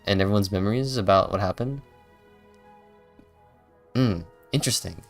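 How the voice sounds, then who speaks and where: A man streams a game in a fairly low voice. He comments casually and muses out loud, working through his thoughts. Faint game music sits behind him. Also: normal speed. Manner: thinking aloud, musing.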